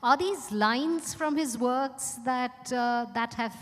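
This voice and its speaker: A woman's voice: high voice, slow pace, a bit bright. A woman moderating a live interview on stage, putting questions to her guests. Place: large indoor event hall. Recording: echoey hall with long reverb.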